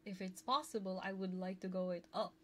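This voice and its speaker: A soft-spoken, playful woman chatting casually with viewers on an ASMR livestream, close to a binaural microphone. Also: mid-range voice, steady tone, fairly quick. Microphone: close binaural ear microphone. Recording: clear background noise.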